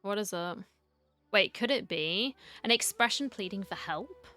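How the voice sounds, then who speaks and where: A woman streamer giving low-key, casual reaction commentary on a game, close to the microphone. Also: expressive, measured pace, pushed and bright, soft background music.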